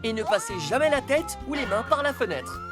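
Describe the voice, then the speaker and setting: A woman's voice: on the high side, very animated, brisk. A woman voicing a responsible, caring rescue car hero in a dubbed children's cartoon, instructive and encouraging. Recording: music behind the voice.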